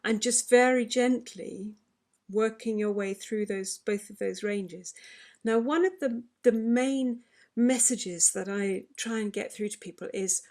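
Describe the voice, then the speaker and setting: A woman's voice: mid-range voice, measured pace, darkish tone. A woman who is a vocal health expert, explaining singing advice in a chatty way during an online question session. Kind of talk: online forum Q&A.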